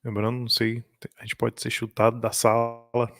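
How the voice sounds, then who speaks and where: A man's voice: mid-range voice, monotone, fairly bright tone, no room sound. Chatty Brazilian man, dry sound. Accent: Brazilian Portuguese. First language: Portuguese. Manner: chatty, laughs often, halting in English. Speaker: host practicing English with strangers. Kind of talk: casual live-stream chat.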